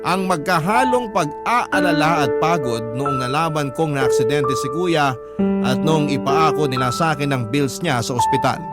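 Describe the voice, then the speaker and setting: A male Filipino radio storyteller narrates a listener's letter dramatically over loud music. His voice is a little high for a man, with a Filipino accent. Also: moderate pace. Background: prominent music.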